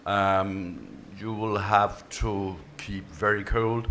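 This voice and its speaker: A man's voice: lowish voice, level tone, bright, forceful tone, a little hiss or hum. A Danish man who was a television drama chief, answering on a panel in careful, emphatic English with some unusual phrasing. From Denmark. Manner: deliberate, emphatic, with unusual word choices. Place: on stage before an audience.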